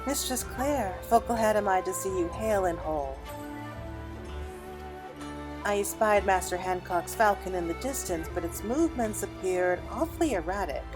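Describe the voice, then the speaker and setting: A formal, courteous lady in a lord's service, voiced by a woman reading aloud. Her fantasy game speech is archaic and measured, with music underneath. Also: mid-range voice, animated, natural voice. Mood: concerned, courteous.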